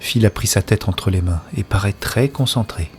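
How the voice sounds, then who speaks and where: A man's voice: lowish voice, normal speed, slight background noise, natural voice. An actor playing an anxious, impatient man searching for his friend in a French science-fiction audio drama. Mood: weary, tense narration. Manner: alternates urgent dialogue with hushed introspective narration. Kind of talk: French audio drama acting with first-person present-tense narration.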